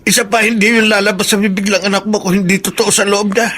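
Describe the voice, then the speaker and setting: High, raspy, bitter old Filipino father. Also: little pitch movement, quick pace, pushed and bright. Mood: bitter, resigned. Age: older. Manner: aggrieved, accusing, sulking. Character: bitter, self-pitying old Filipino father, feeling unwanted. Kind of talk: radio drama acting.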